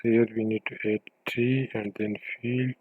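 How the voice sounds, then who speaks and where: A man presenting a screencast software tutorial as a developer, explaining step by step in halting, pieced-together phrases. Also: fairly low pitch, flat delivery, slightly rough, no room sound, narrow, tinny audio.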